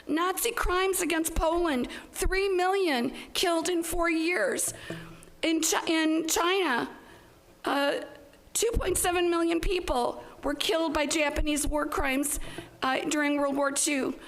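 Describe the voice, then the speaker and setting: A woman with a notably high voice and plenty of creak in it. She speaks as a member of the public at a council meeting, in a plain, halting way. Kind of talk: public comment speech, partly read out.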